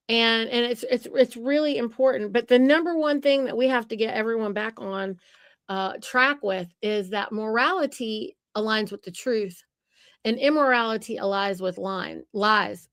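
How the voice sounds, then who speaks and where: A woman's voice: fairly high pitch, a little slow, a bit bright, smooth, dry recording, studio quality. A woman hosting a podcast conversation, talking in an emphatic, lecturing way. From United States. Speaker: podcast host.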